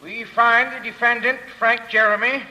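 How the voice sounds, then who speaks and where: A man's voice: extremely high pitch, fairly even intonation, moderate pace. An actor playing a solemn, formal jury foreman in a 1940s radio drama. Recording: very noisy, muffled old radio recording.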